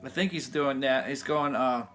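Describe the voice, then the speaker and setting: A man with a fairly high voice giving a casual guitar lesson in a loose, rambling way. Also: moderate pace. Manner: loose, rambling, restarts often. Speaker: guitar teacher. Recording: guitar playing loud behind him.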